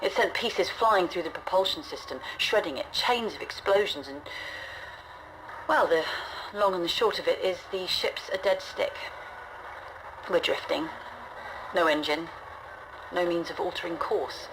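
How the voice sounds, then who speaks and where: An actress playing a weary, resolute woman leading a stranded generation ship, recording game audio logs in a measured, grave, reflective manner. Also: lowish voice, noisy background, natural voice. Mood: grim, matter-of-fact. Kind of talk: scripted audio log narration, dramatic game acting.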